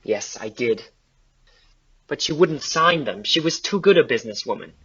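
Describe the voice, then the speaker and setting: A man's voice: medium pitch, expressive, normal speed, sharp tone, husky, lo-fi sound. A man playing a defensive, evasive trustee in an audio play, speaking in a clipped, indignant way. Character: defensive, evasive male estate trustee under suspicion. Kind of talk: amateur audio play acting.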